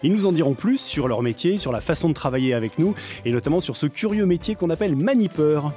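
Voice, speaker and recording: A man's voice: a little high, lively intonation, fast, warm tone, soft background music. A man co-hosting a podcast, previewing the program enthusiastically. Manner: enthusiastic, promotional. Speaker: podcast co-host. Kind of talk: scripted show preview chat.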